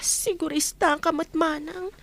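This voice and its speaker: A high, pleading voice of a wronged young housemaid in an Ilocano radio play. The sound is dry and close, and she speaks as someone distressed and put upon. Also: fairly even intonation, unhurried, creaky, a bit bright, dry recording, heavily acted. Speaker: radio drama actor. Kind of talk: Ilocano radio drama acting.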